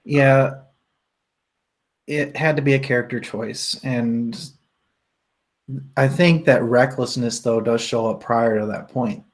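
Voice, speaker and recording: A man's voice: medium pitch, fairly even intonation, slow pace, long pauses. A man in a casual book discussion, talking in an informal, rambling way as a co-host. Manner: rambling, informal, laughs often while talking.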